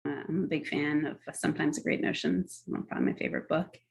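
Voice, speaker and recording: A woman's voice: low-pitched, lively intonation, quick pace, vocal fry. A middle-aged woman answering podcast interview questions in an articulate, earnest, enthusiastic way. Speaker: guest, tech policy executive.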